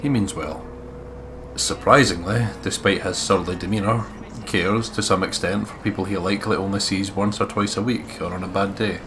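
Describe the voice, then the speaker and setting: A man's voice: deep voice, fairly even intonation, normal speed, noisy background. A man reading visual novel narration aloud as a let's play co-reader, breaking into casual asides.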